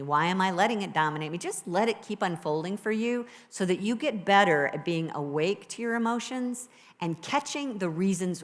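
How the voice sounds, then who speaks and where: A woman minister with a low voice, preaching a sermon and leading a guided meditation in a conversational, encouraging way to a live congregation. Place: church sanctuary with a live congregation. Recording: live church recording with long reverb.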